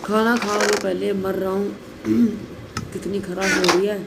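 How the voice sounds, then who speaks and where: A man with a sore throat talks his viewers through a game in a loose, chatty way, pausing now and then to clear his throat. The recording is noisy, with clicks running underneath. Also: sits high, level tone. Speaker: gameplay video creator. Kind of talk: casual gameplay commentary.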